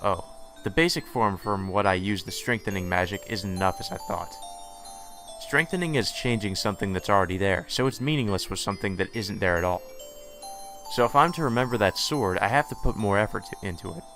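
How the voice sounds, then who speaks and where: Low-voiced man reading a visual novel. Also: fairly quick, fairly bright tone, soft background music. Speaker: let's play host reading a visual novel aloud. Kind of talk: reading game text aloud with asides.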